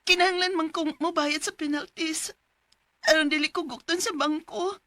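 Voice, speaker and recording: An actress playing a worried young wife in a radio drama, coaxing and plaintive, with a Cebuano Filipino accent. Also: female voice, extremely high pitch, fairly even intonation, moderate pace, fairly bright tone, no room sound. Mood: worried, pleading.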